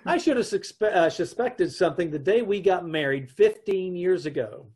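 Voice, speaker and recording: A man's voice: high-pitched, lively intonation, normal speed, darkish tone, breathy edge. A middle-aged man playing a wry, exasperated husband who wisecracks about his troubles in an old-time radio comedy. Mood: wry, narrating. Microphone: video call.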